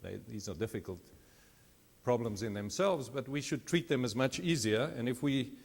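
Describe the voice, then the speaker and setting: A man's voice: mid-range voice, expressive, normal speed. Man lecturing in a reverberant hall. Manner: conversational, self-deprecating asides. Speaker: mathematics lecturer. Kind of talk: public lecture.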